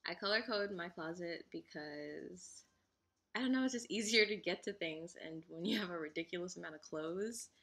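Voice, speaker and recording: A woman's voice: lowish voice, normal speed, vocal fry, fairly bright tone. A young woman whose first language is Igbo, chatting casually to camera with frequent giggles and fillers. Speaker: vlogger.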